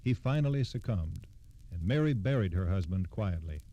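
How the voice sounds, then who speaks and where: A man's voice: low voice, animated, moderate pace, dark tone, low-quality recording. An American man reading scripted old-time radio narration as a storyteller, with a formal, factual delivery.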